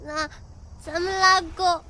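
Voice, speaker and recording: Very high, sweet little girl. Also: fairly even intonation, slow, bright, forceful tone, exaggerated voice. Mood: hopeful. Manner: childlike, cute, simple phrasing. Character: sweet, polite little girl, eager and affectionate. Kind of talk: modern Chinese audio drama acting.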